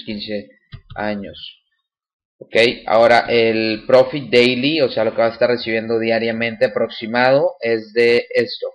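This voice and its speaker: A man talks through a screen recording in a casual, halting way, full of fillers and restarts. His voice sits at a middle pitch, and the home recording sounds dull and lo-fi. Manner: explains step by step with many fillers and restarts. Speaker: channel host demonstrating a website.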